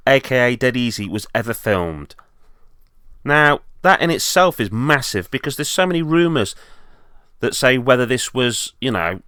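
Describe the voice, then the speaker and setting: A man's voice: on the low side, a bit bright, smooth, no room sound, studio quality. A man presenting an enthusiastic fan update as a video host, speaking in an earnest way.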